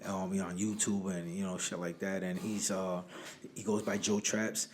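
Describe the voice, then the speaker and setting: A man's voice: low-pitched, level tone, moderate pace, a little hiss or hum. A man from Rhode Island by way of New York, chatting casually as a podcast guest, with slangy, rambling talk that circles back on itself. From born in Harlem, New York, raised in Rhode Island. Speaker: guest, rapper.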